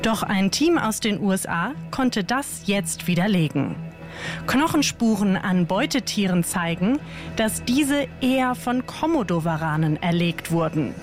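A woman reads short science news items as scripted narration, steady and even. Her voice sits at a middle pitch. Clear background music plays under her. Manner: even, read-aloud delivery. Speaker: quiz question narrator. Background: music bed.

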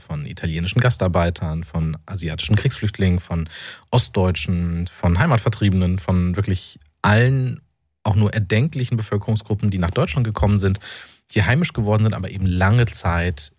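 A man from North Rhine-Westphalia, an author and journalist, speaking as a radio interview guest in a thoughtful, halting way with many fillers. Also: sits low, a little mellow, no echo, studio quality.

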